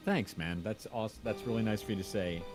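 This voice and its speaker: A man in casual conversation, rambling and halting in a modest, self-effacing way. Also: lowish voice, music in the background. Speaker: audio drama creator and podcast host; university audio teacher. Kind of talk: casual chat.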